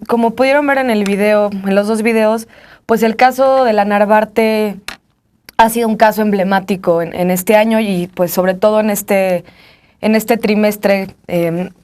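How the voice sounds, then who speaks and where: A woman's voice: mid-range voice, a little mellow. A woman with a Mexican accent hosting informally in a studio. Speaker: TV host.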